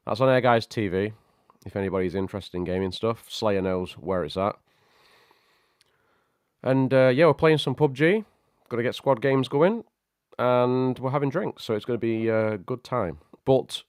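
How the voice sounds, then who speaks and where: A man hosting a casual tech livestream, chatty and greeting viewers by name, his voice a little croaky from a cold. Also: medium pitch, dry recording, studio quality. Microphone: studio microphone.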